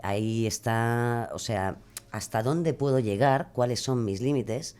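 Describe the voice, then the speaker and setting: A woman's voice: a bass voice, unhurried, a little mellow, clean tone. A woman musing hesitantly and philosophically as a podcast guest. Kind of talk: conversational interview answers.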